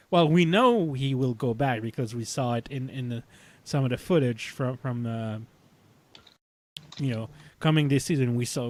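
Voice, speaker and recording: A man talking casually as a podcast panelist about sci-fi television, thinking aloud in a halting way. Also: mid-range voice, no room sound.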